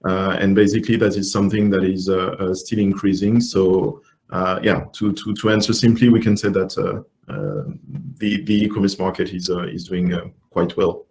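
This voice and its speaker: A man answering as a panelist in a business webinar, halting and full of hesitations. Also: low-pitched, creaky, a little mellow. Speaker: country manager, panelist.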